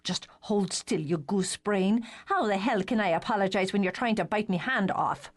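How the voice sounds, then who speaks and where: A woman's voice: mid-range voice, normal speed, close to an everyday voice. Woman doing a blunt, hot-tempered young woman. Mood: annoyed.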